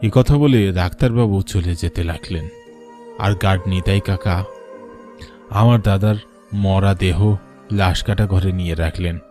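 A man's voice: deep voice, measured pace, long pauses, warm tone, faint music behind. A man voicing a devoted younger brother who narrates a cartoon story in an emotional, literary, sorrowful way. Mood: somber. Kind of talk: dramatic first-person cartoon narration.

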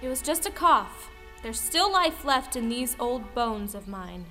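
A gentle old mother, played by a woman speaks reassuringly in a high voice. It is an audio drama folktale, with music behind. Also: very animated, a little slow. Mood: gentle reassurance. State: coughing.

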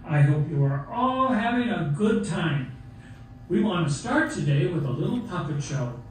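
A man's voice: high-pitched, big pitch swings, normal speed. A man playing a jolly, hearty Santa Claus introducing an amateur puppet show. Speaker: show announcer. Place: theater, camera far from puppet stage. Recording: distant echoey theater recording, faint noise.

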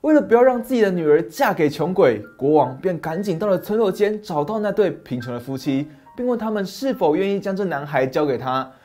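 A middle-aged man narrating a fairy tale as a channel host, with a dramatic, animated manner, on a lapel mic in a small dim study. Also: high voice, fairly quick, hardly any pauses, soft background music. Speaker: storyteller host.

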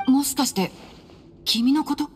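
A woman's voice: sits high, a little slow. Earnest young man, echoing hall. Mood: curious. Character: calm, earnest young male forest ranger. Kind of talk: video game dialogue acting. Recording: long hall reverb, soft music behind.

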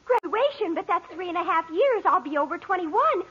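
An actress playing an earnest, melodramatic seventeen-year-old daughter in love, easily offended, in a 1940s radio comedy on a vintage recording. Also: female voice, very high voice, big pitch swings, a little fast, a bit bright, muffled recording, a little hiss or hum, heavily acted. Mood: hurt, indignant.

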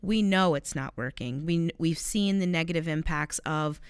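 A woman's voice: low-pitched, normal speed, smooth, dry recording, clean studio sound. A young woman who coordinates city communications, talking earnestly and conversationally as co-host of a municipal discussion show. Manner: earnest, articulate, conversational with occasional restarts. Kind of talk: casual policy discussion.

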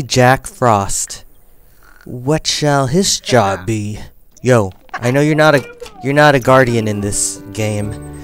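A young man casually commenting on his life-simulation game as he plays, thinking aloud and making choices. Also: medium pitch. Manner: chatty, thinking aloud, counting and deciding as he plays. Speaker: gaming channel host. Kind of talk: casual let's-play commentary.

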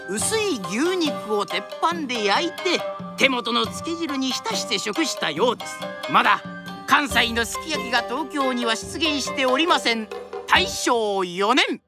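A woman narrating in a theatrical Japanese kodan storytelling style, with dramatic delivery and formal, polite phrasing. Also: a little high. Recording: music behind the voice.

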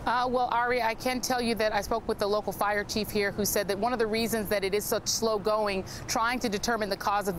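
A woman correspondent giving a live field report outdoors, brisk and filled with small "uh"s. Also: medium pitch, slight background noise, rough recording. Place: outdoors beside a burned church.

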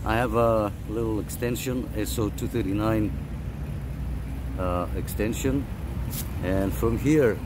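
A man's voice: fairly low pitch, takes it slowly, long pauses, very noisy. A man who runs a radio channel, talking on CB radio from a parked car and then outdoors, using radio lingo, repeating himself and adding many fillers. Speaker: CB radio operator and vlogger. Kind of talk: casual radio chat and on-camera walkthrough.

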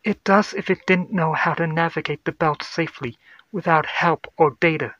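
A man's voice: on the high side, moderate pace, bright, forceful tone, no echo, highs cut off, pitched above the performer's own voice. A man voicing an anxious woman science officer, urgent and explanatory, in a sci-fi horror audio story. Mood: urgent, explaining.

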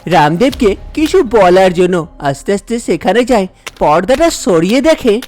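A woman narrating a cartoon story, dropping her voice lower to play the men. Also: sing-song, normal speed, a little mellow. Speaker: narrator voicing all male characters. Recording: music bed under the voice.

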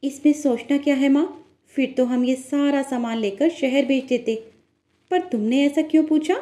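A woman's voice: sits high, normal speed, dark tone, voice pushed up, natural voice. A woman voicing eager, hardworking young village sisters who sell clay toys in a cartoon story. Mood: eager, questioning.

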